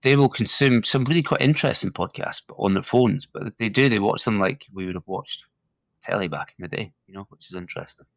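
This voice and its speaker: Gravelly-voiced man hosting a podcast. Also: on the low side, a little fast, dry recording. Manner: warm, encouraging, chatty with many fillers. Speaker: podcast host. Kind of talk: casual interview chat.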